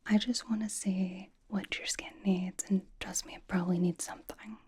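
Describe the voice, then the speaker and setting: A woman's voice: medium pitch, little pitch movement, moderate pace, darkish tone, slightly rough. A woman playing a blunt, doting young pop idol in a whispered ASMR roleplay, with a casual, dry, slangy delivery. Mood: fussing, gentle.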